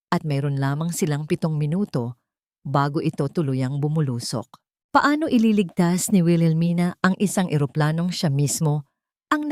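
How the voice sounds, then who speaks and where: A low-voiced Filipino woman reads a dramatic audiobook story. Her delivery is measured and theatrical, recorded dry and close with no room sound. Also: darkish tone, dry recording. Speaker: audiobook narrator. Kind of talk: dramatic scripted story narration.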